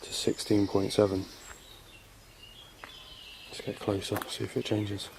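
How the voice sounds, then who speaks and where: Paranormal show host, male, lowish register, informal field commentary, recorded outside with noticeable ambient noise. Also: flat delivery, a little slow, gravelly. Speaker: paranormal investigator hosting an exploration video. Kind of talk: casual on-location ghost-hunt commentary, calling out questions. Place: outdoors in a rural spot. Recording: noisy outdoor location sound. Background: birds and outdoor ambience.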